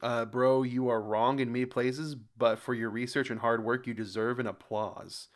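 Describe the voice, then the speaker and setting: American guy doing a casual reaction vid, kinda halting n muttering, medium pitch, trips on words reading stuff out. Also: fairly even intonation. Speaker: host of a reaction channel. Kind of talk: casual reaction commentary, reading comments aloud.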